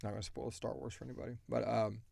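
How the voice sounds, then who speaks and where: A man's voice: mid-range voice, flat delivery, quick pace, gravelly, darkish tone, slightly rough, dry recording. A man chatting casually as a podcast co-host, rambling and informal as he explains things. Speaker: podcast co-host and audio drama creator.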